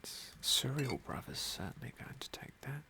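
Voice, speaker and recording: A man hosts a fantasy game playthrough in a hushed ASMR whisper. His voice has a gravelly creak in it. He speaks softly and without hurry, choosing formal, genteel words. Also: mid-range voice, animated. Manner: soft-spoken, unhurried, formal and genteel phrasing.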